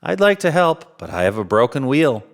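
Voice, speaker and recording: A man's voice: medium pitch, very animated, normal speed, pitched above the performer's own voice. A man voicing a small, polite, apologetic toy train engine in a children's storybook reading. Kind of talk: children's storybook read-aloud.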